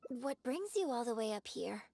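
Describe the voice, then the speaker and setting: A woman's voice: fairly high pitch, big pitch swings, normal speed, creaky, mellow, no echo, full character voice. A woman playing a courteous young opera performer in a fantasy video game, speaking in a formal, reflective manner. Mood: polite, composed. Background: game music.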